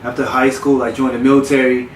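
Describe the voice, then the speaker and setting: A man who grew up in South Florida, a personal trainer telling his story in a relaxed, candid way with frequent restarts. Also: mid-range voice, fast talker, slight background noise. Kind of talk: casual podcast interview. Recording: roomy, distant mic with faint ambience.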